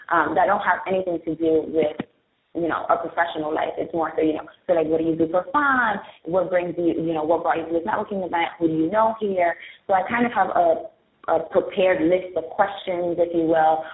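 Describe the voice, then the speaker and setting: A woman's voice: low voice, reverberant. Young woman with a Bronx accent. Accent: Spanish and New York Bronx. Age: young adult. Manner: candid, explaining step by step. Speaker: branding expert guest. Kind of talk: interview answers. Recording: tinny phone-like audio.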